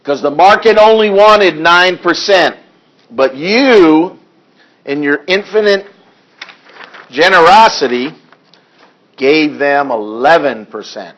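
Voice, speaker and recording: Hoarse man lecturing slowly. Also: on the high side, a bit bright, dull audio. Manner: slow, deliberate reading of figures with dry asides. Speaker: accounting instructor. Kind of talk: classroom lecture working through problems. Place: classroom.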